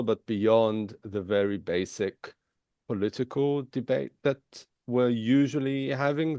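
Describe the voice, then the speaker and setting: Man, Israeli accent, native Hebrew speaker, panel talk, fillers and restarts, low gravelly voice. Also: a little mellow, no echo, studio quality. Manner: thoughtful, hesitant, many restarts and fillers. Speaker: editor and panelist. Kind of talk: panel discussion.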